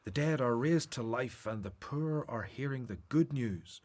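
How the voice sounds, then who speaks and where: Man playing husky-voiced, expressive gentle teacher. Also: medium pitch, measured pace. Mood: gentle, caring. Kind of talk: radio Bible drama acting.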